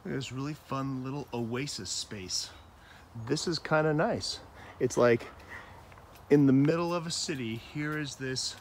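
Outdoor vlogger, thirties man, enthusiastic and chatty, camera mic with light background hiss. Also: mid-range voice. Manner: enthusiastic, chatty, pointing out plants. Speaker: gardening vlogger. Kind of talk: walking nature vlog. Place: outdoor trail in a nature preserve. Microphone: handheld camera framing, on-camera mic.

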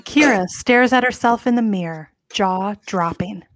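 A woman's voice: mid-range voice, a little slow. A woman in her thirties reading stage directions as scripted narration for a live table read. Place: home room, indoors, on a video call.

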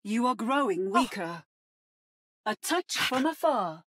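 A woman's voice: a little high, sing-song, slow, a bit bright, heavily acted. A woman voicing an adaptive female robot that mimics other heroes, with precise, theatrical video game delivery. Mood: poised.

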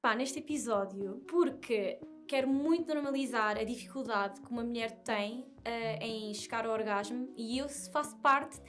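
High-voiced woman presenting. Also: normal speed, hardly any pauses, a bit bright, soft background music. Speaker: video presenter. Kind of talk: presenting an interview video.

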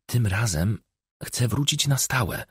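A man's voice: medium pitch, lively intonation, slow pace, fairly bright tone, slightly rough, dry recording, clean studio sound, voice pushed up, exaggerated voice. A man voicing a troubled, discontented young woman with a complaining, pleading manner in an audiobook.

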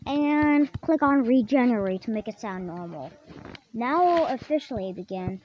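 A tutorial host casually explaining software steps in a halting way, often repeating and rephrasing. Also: medium pitch, lively intonation. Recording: dull lo-fi microphone, clearly noisy.

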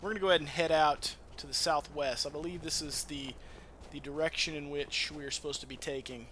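A man's voice: a little high, fairly even intonation, brisk, fairly bright tone, slight background noise. A man hosting a gaming channel, giving casual, rambling let's-play commentary with informal, sweary talk. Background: game music.

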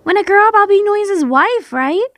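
A woman's voice: very high voice, normal speed, dry recording, voice pushed up. A woman voicing a cheerful, innocent, wide-eyed little boy with an eager, childlike manner, in a comic dub. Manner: childlike, excitable.

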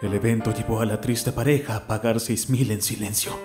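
A man's voice: lowish voice, fairly even intonation, brisk, lightly acted. A man voicing an exasperated teenage schoolboy in an anime fan dub, dramatic and sarcastic. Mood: brooding. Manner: dramatic, sarcastic, prone to outbursts. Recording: music playing behind the voice.

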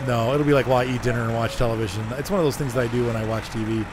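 A hoarse man chatting casually as he streams a driving game, rambling and answering his chat. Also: medium pitch, fairly even intonation, racing. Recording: noisy game sound behind.

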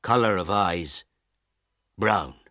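A man reading a simple story for language learners as a narrator, in deliberate, clearly separated short phrases. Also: sits low, bright, forceful tone, no echo, clean studio sound. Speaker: audiobook narrator.

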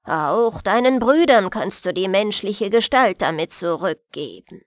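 A woman's voice: mid-range voice, animated, unhurried, no echo, clean studio sound, natural voice. A woman voicing a defeated male fairy-tale sorcerer, solemn and resigned.

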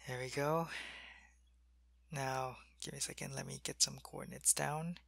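A man playing a sick, weak male alien soldier in an ASMR roleplay. He speaks softly into a close mic and often drops to a whisper. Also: mid-range voice, fairly even intonation, moderate pace, creaky, dry recording, full character voice. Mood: weary, gentle. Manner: soft-spoken, drifting into whispers.

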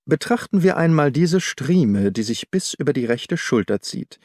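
A man's voice: fairly high pitch, very animated, normal speed, darkish tone, dry recording, studio quality. A man voicing a shrewd, methodical detective in an audiobook, reasoning in a precise, self-assured way.

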